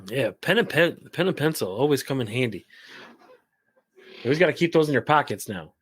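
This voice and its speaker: Male podcast host, dry close voice, mid pitch, curious and chatty. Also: expressive, fairly quick, a bit bright, breathy edge, dry recording. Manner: chatty, curious, laughs easily. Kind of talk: casual interview.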